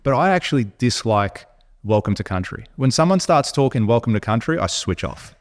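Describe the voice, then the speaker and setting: An Australian man co-hosting a podcast, talking bluntly in an animated, opinionated way, with frequent restarts. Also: mid-range voice, fairly quick. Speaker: podcast co-host. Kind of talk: casual opinionated chat.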